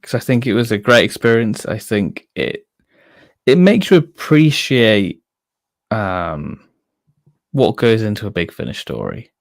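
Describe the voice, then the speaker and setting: A man co-hosting a casual fan livestream, chatting informally in a hesitant, halting way. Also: lowish voice, sing-song, creaky, no room sound, clean studio sound. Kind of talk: casual chat.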